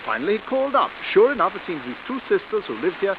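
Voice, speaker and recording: A vain, effusive stage star, hissy radio. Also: male voice, sits high, very animated, quick pace. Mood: smug chattiness. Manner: effusive, affected, patronizing. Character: vain, genial stage star, condescending, glib. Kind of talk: 1940s radio suspense acting. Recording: muffled, hissy vintage radio recording.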